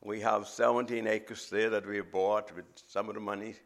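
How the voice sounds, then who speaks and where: A middle-aged man with a Bahamian accent, preaching a sermon as a visiting preacher in a measured, emphatic way. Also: fairly low pitch, little pitch movement, vocal fry.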